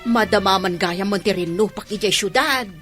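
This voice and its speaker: A woman playing a gossipy village neighbour in a radio drama, with a husky voice. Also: medium pitch, normal speed, music in the background, close to an everyday voice. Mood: concerned, gossipy. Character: gossipy village woman passing on news of rebels.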